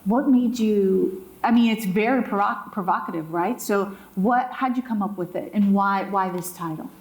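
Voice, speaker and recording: A woman's voice: mid-range voice, moderate pace. A woman moderating a live interview at an indoor public event, asking questions as a journalist. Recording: roomy, echoing event sound.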